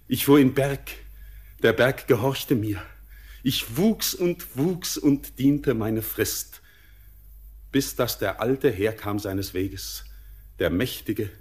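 An actor playing an entranced, doomed young bridegroom in a classic German radio drama, declaiming solemn poetry in a visionary manner. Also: male voice, on the low side, breathy edge.

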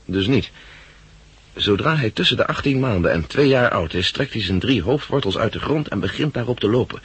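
An actor playing a brisk, authoritative head of research with a probing, businesslike manner in a 1960s Dutch radio drama. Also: male voice, medium pitch, expressive, fairly bright tone, husky, no room sound. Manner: probing, businesslike, clipped questioning.

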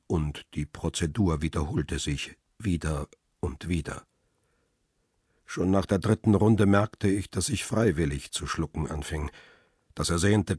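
An actor playing a world-weary photographer who narrates his ordeal in a radio crime play, sombre and deliberate. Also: low voice, darkish tone, husky, no room sound, studio quality, exaggerated voice. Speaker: first-person narrator. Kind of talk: dramatic scripted narration.

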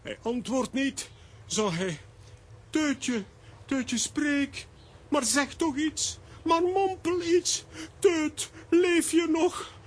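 An actor playing an excitable, sentimental grown man in a children's radio play, exclaiming and sighing melodramatically. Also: very high-pitched, extremely slow, exaggerated voice. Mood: grieving. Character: excitable, sentimental, dramatic grown man, comic-strip hero.

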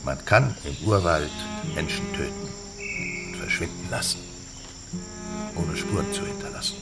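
An actor playing a grave, knowing padre in a radio drama, speaking in a measured, deliberate way. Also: male voice, low voice, wildly swooping pitch, over loud music, full character voice. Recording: clear insect-filled ambient noise behind voice.

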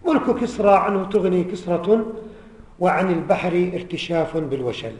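A man's voice: sits high, expressive, a little mellow, reverberant, big-room sound. A man giving a religious lesson, explaining verses and often asking his listeners rhetorical questions. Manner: rhetorical questions to the audience, repeating verses slowly. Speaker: Islamic teacher explaining a classical poem. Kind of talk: religious lesson, formal Arabic mixed with colloquial asides.

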